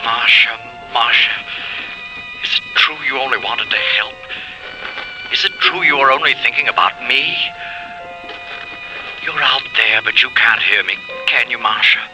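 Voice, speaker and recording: A man's voice: mid-range voice, unhurried, long pauses, close to an everyday voice, low-quality recording. An actor playing a guilt-ridden man's tormented inner voice, brooding and intense, in 1950s radio drama style. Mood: anguished, brooding. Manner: intense, brooding monologue with repeated phrases. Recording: vintage radio recording with music underneath.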